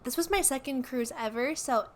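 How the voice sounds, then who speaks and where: A young woman co-hosting a podcast in casual chat, a little hoarse from a cough, bubbly and full of fillers. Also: sits high, normal speed. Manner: bubbly, lots of "like" and "yeah". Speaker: podcast co-host.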